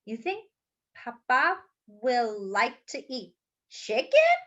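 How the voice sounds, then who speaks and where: A woman's voice: high voice, wildly swooping pitch, slow, fairly bright tone, full character voice. A woman voicing an earnest, polite young boy in a storybook. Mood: hopeful questioning. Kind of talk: storybook character voice. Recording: dull lo-fi recording.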